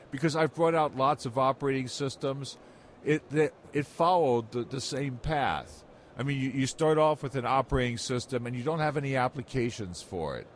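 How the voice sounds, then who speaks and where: A man's voice: medium pitch, slight background noise. A mature man giving rambling, explanatory anecdotes as an interview guest in a conversational style. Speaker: interview guest, software industry veteran.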